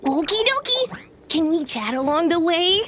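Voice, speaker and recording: A cheerful little dragon, very high voice, swooping sing-song lines, game cutscene. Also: female voice, sing-song, moderate pace, background noise. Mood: chirpy. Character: small young lost dragon, cheerful and eager.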